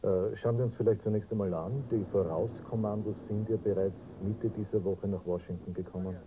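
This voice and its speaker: A middle-aged man hosting a studio news magazine, briskly introducing topics and questioning a guest. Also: fairly low pitch, fairly even intonation, warm tone, slight background noise, low-quality recording. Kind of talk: studio interview.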